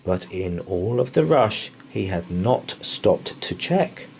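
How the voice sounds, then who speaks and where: A man's voice: low-pitched, expressive, slow, vocal fry, a little mellow, a little hiss or hum. A man reading a children's bedtime story aloud in rhythmic verse, with a theatrical, dramatic delivery. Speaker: storybook reader.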